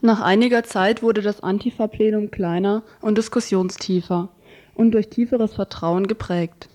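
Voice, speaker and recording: Mellow-voiced woman presenting a scripted report. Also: mid-range voice, steady tone, moderate pace. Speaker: radio presenter. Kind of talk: scripted narration introducing quotations.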